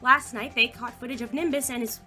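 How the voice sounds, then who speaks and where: Woman playing a bright, high-voiced teenage girl news anchor. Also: fairly even intonation, brisk, faint music behind. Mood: peppy, businesslike. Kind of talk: mock news broadcast acting in audio drama.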